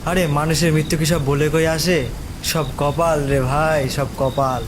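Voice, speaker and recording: A man's voice: fairly high pitch, little pitch movement, a little slow, background noise, close to an everyday voice. A man playing a weary, fatalistic pallbearer in a dramatised story, speaking colloquially. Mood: resigned.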